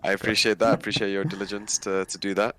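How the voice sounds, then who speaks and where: A man's voice: lowish voice, flat delivery, quick pace, a bit bright, slight background noise. A man in his twenties from Nigeria, speaking casually as a guest in an interview. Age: 20s.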